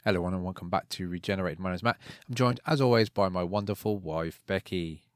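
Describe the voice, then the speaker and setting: A man's voice: low-pitched, fast, no room sound. A man co-hosting a podcast in casual chat, a little nasal and congested from a cold. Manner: easygoing, slightly nasal from a cold. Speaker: podcast co-host.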